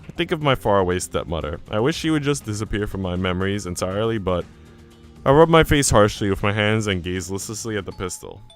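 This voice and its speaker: Male let's player, lowish clean voice, narrating a visual novel expressively with off-script remarks, soft music underneath. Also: lively intonation, fast. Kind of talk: expressive read-aloud of story narration and dialogue.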